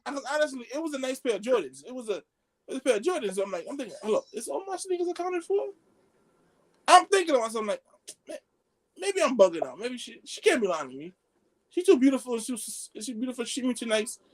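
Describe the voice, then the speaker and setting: A man telling a story among friends on a podcast, excitable and slangy, acting out the dialogue as he goes. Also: extremely high pitch, fast, poor audio. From Haitian background. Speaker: podcast co-host.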